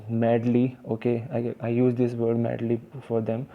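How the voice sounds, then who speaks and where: A man's voice: medium pitch, monotone, moderate pace, phone-quality sound. A man with an Indian accent teaching English vocabulary in a casual, hesitant way, with many fillers and restarts. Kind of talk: informal vocabulary lesson.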